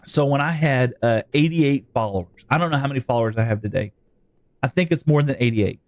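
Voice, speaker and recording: A man's voice: mid-range voice, a little fast, darkish tone, no room sound. Rambling Texan man streaming. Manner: rambling, jokey, talks to chat. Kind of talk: live-stream gaming chat.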